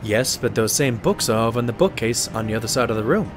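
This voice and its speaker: A loyal, modest pony doctor voiced by a man narrates and converses in a mid-range, very animated voice. The audio drama delivery is earnest and expressive, with music beneath. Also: medium pitch, fast, lightly acted. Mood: thoughtful, deducing.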